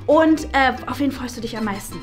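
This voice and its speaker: A woman's voice: high-pitched, fairly quick, faint music behind, natural voice. A woman playing a bubbly, over-eager teenage werewolf girl in an amateur parody series, gushing in a girlish voice. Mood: chirpy, eager.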